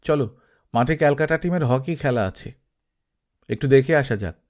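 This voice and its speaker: A man narrator plays a drowsy young man in a storybook tale. He talks in an offhand, familiar way, at a middling pitch. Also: normal speed, darkish tone, natural voice. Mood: casual, breezy. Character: sleepy, casual young man, offhand host.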